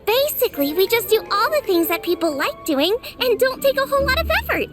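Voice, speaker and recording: A woman voicing a cheerful, energetic teenage girl cook in a video game, youthful and chatty, explaining things with enthusiasm. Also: very high-pitched, brisk. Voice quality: youthful, girlish. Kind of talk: video game character acting. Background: music.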